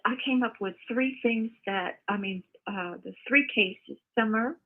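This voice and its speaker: An older woman calling in by phone to give her opinion, halting and earnest, often repeating herself. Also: fairly high pitch. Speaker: caller, mother of the host. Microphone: phone call. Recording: muffled, narrow phone call audio.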